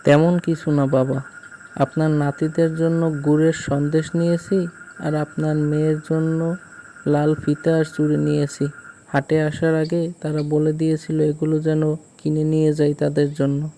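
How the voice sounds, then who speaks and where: A man playing a village husband who recounts an eerie night in a polite, uneasy, measured way for a cartoon story. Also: a little high, completely flat delivery, warm tone, a little hiss or hum. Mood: polite, respectful. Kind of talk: first-person cartoon story narration.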